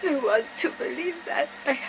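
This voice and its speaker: A woman's voice: high-pitched, animated, moderate pace, over music. An actress playing a defiant, tormented female prisoner in a video game, with a strained, emotionally raw delivery. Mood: broken, pleading. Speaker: captive character in a video game. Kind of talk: scripted cutscene dialogue.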